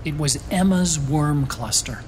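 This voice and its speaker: An actor playing an earnest, brainy engineer and loyal ally in a video game, explaining things reflectively. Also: male voice, a little high, lively intonation, measured pace, a little hiss or hum. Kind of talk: dramatic video game voice acting.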